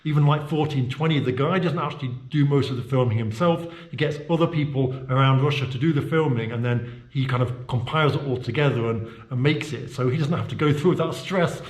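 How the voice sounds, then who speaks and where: A man's voice: medium pitch, brisk, hardly any pauses. A man from the UK with a British accent, chatting casually while giving a handheld-camera tour of his indoor office studio, rambling with lots of fillers and restarts. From United Kingdom. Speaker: vlogger. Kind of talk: casual walking-tour vlog. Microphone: handheld camera mic. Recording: echoey room.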